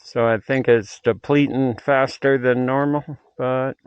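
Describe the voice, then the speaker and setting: A man's voice: mid-range voice, fairly even intonation, a little slow, no room sound. A middle-aged man chatting casually outdoors as he vlogs, rambling and musing aloud to himself. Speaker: vlogger and amateur radio operator. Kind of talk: casual outdoor vlog chat. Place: outdoors on a mountain bike trail. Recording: muffled outdoor audio with clear background noise.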